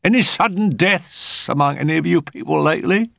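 A man's voice: fairly high pitch, a little fast, vocal fry, a bit bright, no echo, clean studio sound, pitched above the performer's own voice. A man voicing an ample, tired woman spirit medium who talks in a chatty, dramatic, uneasy way.

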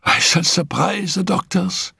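A man's voice: a little slow, creaky, bright, forceful tone, slightly rough, no room sound, studio quality, heavily acted. A man voicing a frail, feverish young woman who is dying but defiant, coughing and laughing breathlessly as she shrieks. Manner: coughing fits, breathless laughter, shrill outbursts.

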